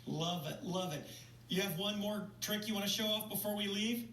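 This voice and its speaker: A man's voice: sits high, normal speed, a bit bright. Upbeat male host, roomy distant sound. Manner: upbeat, playful banter. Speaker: TV show host, interviewer. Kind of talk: casual broadcast interview chat. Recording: roomy, distant mic, faint noise.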